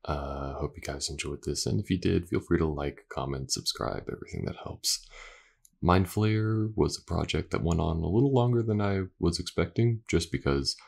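A man's voice: low-pitched, animated, normal speed, gravelly. A man speaking casually to his listeners as the creator, in an outro. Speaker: voice actor and creator.

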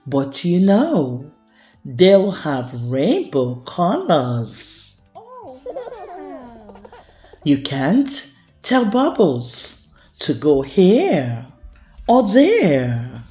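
A woman hosting a children's storytime, reading a picture book aloud in a deliberate, expressive storyteller's delivery. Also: low-pitched, soft background music.

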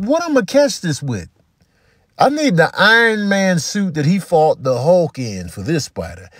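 A man giving comedic, casual commentary in an emphatic, slangy, animated manner. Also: mid-range voice, slightly rough. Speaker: comedian doing voiceovers and food vlogs.

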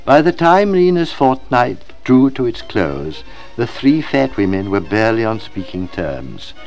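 Male literary narrator, mid-pitched, animated and expressive delivery, measured dramatic phrasing. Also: faint music behind, poor audio. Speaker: audiobook reader. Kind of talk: scripted literary narration, expressive storybook reading.

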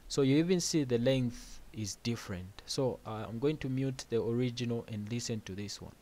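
A man hosting a music-production tutorial, explaining software steps plainly over a screen recording with casual asides. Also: mid-range voice, moderate pace.

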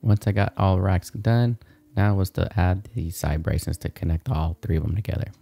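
A man's voice: deep voice, fairly even intonation, moderate pace, creaky, over music. A man in his thirties hosting a DIY video, narrating a how-to in a casual, informal, explanatory way.

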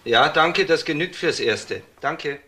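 Sharp, factual police investigator. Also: male voice, fairly high pitch, expressive, slow pace, heavily acted. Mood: businesslike. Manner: precise, factual. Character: male junior police investigator, brisk and factual. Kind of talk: crime radio drama acting.

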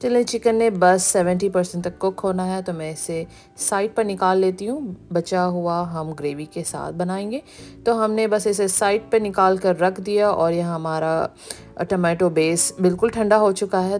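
A woman, narrating a cooking video as the channel's host, explaining each step in a relaxed way. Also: lowish voice. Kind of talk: recipe voice-over narration. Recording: background music.

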